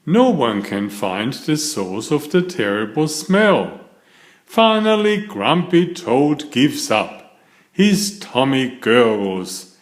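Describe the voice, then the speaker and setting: Male read-aloud narrator for a children's picture book: slow, very animated, echoey room. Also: medium pitch. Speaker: storybook reader. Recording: roomy echo.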